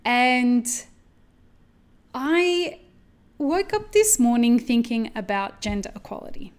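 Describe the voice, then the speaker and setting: A woman with an Australian accent hosts a solo podcast, speaking in a slightly high voice. She thinks aloud in a candid, reflective, rambling way and swears now and then. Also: sing-song, slow. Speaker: podcast host.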